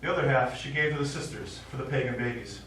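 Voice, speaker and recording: An actor playing a stubborn elderly widower, a war veteran and retired teacher who reminisces and quotes verse in a stage play. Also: male voice, mid-range voice, fast talker, natural voice. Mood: reminiscing. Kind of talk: live stage play acting. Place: small indoor theatre stage. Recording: echoey theatre, distant mic, faint noise.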